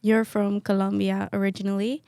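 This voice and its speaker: Gravelly-voiced young woman co-host. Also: medium pitch, little pitch movement, normal speed, mellow, no echo, clean studio sound. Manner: encouraging, gives many short agreements. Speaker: podcast co-host. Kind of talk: podcast hosting.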